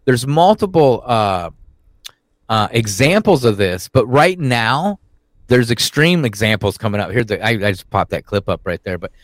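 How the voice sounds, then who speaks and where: A man's voice: mid-range voice, moderate pace, no room sound. A man co-hosting a podcast in casual, rambling talk, swearing often and getting worked up. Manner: profane, rambling, animated. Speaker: podcast co-host. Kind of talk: casual conspiracy talk chat.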